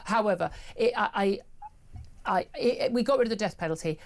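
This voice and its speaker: A woman's voice: mid-range voice, animated, fairly quick. An opinionated woman hosts a talk radio debate. She speaks emphatically and sounds indignant, and she stumbles through restarts as she builds her case. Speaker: radio talk show host.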